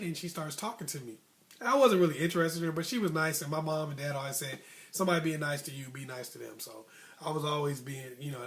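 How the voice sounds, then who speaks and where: An American man telling a personal story casually, in slangy speech, acting out bits of dialogue as he goes. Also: on the high side. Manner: animated slangy talk, acting out childhood dialogue. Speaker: storyteller host.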